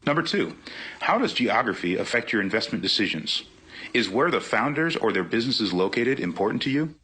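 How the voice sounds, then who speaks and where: A man's voice: mid-range voice, very animated, a little fast, sharp tone, breathy edge. A man reading questions aloud from a book as a guest reader. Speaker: guest reader, nonprofit executive.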